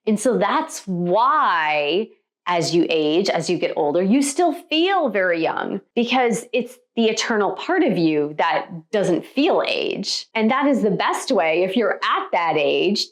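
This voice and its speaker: An American woman gives a motivational spiritual talk with a very lively, varied pitch. Her delivery is conversational and emphatic, like a meditation coach explaining ideas straight to her viewers. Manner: emphatic, conversational, with rhetorical check-in questions.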